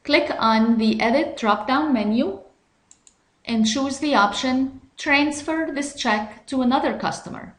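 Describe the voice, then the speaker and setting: A woman narrates a software tutorial with a fairly high voice, taking it slowly and step by step. Her delivery is measured and instructional, and the recording sounds noticeably roomy and echoey.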